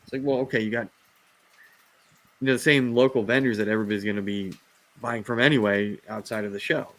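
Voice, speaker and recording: A man's voice: fairly low pitch, steady tone, fast talker, fairly bright tone, no echo, low-quality recording. A man hosting a casual livestream chat about reptiles, rambling with lots of fillers and restarts as he loses his voice. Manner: rambling, rant-prone, many fillers and restarts. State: losing his voice. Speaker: host of a reptile live show.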